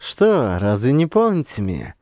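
A man's voice: medium pitch, huge pitch swings, moderate pace, mellow, no echo, clean studio sound. A man voicing a ragged male petty criminal prisoner who wheedles and wails. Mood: pleading. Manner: wheedling, wailing.

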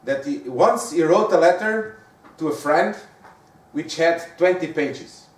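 A man with a Portuguese accent, lecturing with animated rhetorical questions. His voice sits high for a man. He sounds distant from the mic, and the room echoes around him. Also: a little slow. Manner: lively, talks to the audience with frequent rhetorical questions. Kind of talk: lecture on giving presentations.

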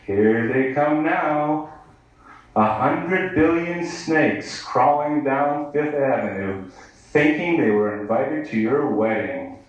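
A sardonic American man performs a poem at a live bar reading, declaiming it at an unhurried pace. His voice sits fairly high for a man, and it comes through very echoey, some way from the microphone. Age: 30s. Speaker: poet. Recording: echoey live bar recording, distant mic.

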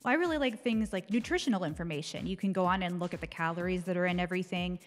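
A woman's voice: lowish voice, rapid-fire, big-room sound. A young American woman giving casual, conversational commentary as a podcast segment presenter. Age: young adult. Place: small dark indoor studio. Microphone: close microphone on desk stand.

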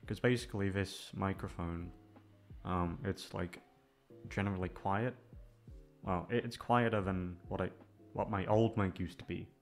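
A man's voice: low voice, fairly even intonation, unhurried. A man chatting casually to his listeners in a hesitant, meandering way, close on the microphone. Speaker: audio roleplay voice actor. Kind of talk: casual rambling outro to listeners. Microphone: close studio microphone. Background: music.

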